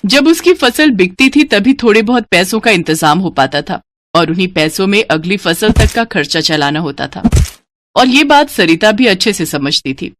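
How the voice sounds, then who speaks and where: Female storyteller, scripted cartoon narration, animated intonation, mid pitch, faint noise. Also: moderate pace.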